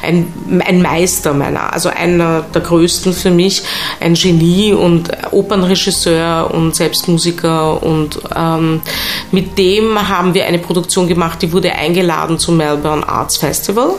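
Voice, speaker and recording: A woman's voice: on the low side, steady tone, measured pace. A woman with an Austrian accent, a guest in a studio interview, chatting effusively and wandering off into digressions. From Vienna, Austria. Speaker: interview guest, stage performer. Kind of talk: casual conversational storytelling. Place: radio studio.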